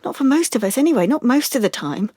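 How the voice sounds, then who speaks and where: A young woman in an audio drama, anxious and wounded. She confides in a halting, stumbling way, guarded about herself. The sound is close and dry, with no room around her. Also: on the high side, big pitch swings, mellow, breathy edge, dry recording. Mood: upset, pleading. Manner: halting, confiding, with stammered restarts. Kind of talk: audio drama monologue and dialogue.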